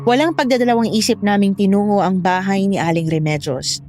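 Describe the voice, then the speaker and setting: A woman's voice: medium pitch, brisk, music in the background, natural voice. A woman narrating a scripted horror story as a young wife retelling her frightening ordeal. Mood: tense, recounting. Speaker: first-person story narrator.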